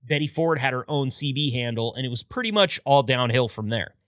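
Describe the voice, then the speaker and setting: Male podcast host, bright forceful tone, dry close-mic sound, scripted history read. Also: medium pitch, expressive, a little fast, dry recording. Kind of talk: scripted narration.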